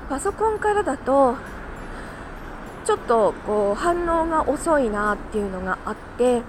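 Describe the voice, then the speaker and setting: Woman doing a chatty solo morning podcast, kinda high voice, bit of rumbly noise behind her. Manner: chatty, laughs easily while talking. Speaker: podcast host and voice actor. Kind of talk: casual solo morning chat.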